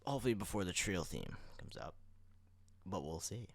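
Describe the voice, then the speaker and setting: A man's voice: sits low, animated, normal speed, creaky, dry recording. A man co-hosting a podcast in informal, playful banter, speaking close to the microphone. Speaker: podcast co-host.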